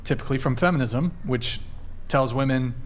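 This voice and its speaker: A man's voice: medium pitch, normal speed. Man, host, live dating panel, questioning, faint background noise.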